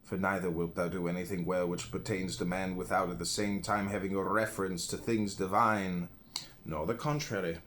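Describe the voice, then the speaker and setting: A man reading an old philosophy book aloud in measured phrases, pausing between them. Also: deep voice, steady tone, a bit bright, slight background noise. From United States. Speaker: audiobook reader.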